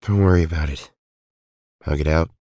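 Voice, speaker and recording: A man playing a stubborn, loyal young male hero in an amateur audio drama, with an earnest manner and a husky voice. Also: extremely low pitch, moderate pace, dark tone, no echo, clean studio sound. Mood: apologetic, gentle.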